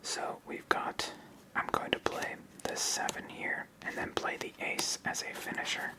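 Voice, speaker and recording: An ASMR host speaks entirely in an unvoiced whisper. The delivery is calm and methodical, walking the listener through a card game one step at a time. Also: a little slow, low-quality recording.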